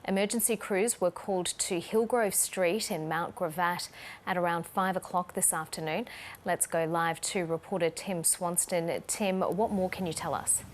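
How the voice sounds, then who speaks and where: A woman with an Australian accent reading a scripted news bulletin as anchor, formal and measured. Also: lowish voice, steady tone, a little hiss or hum.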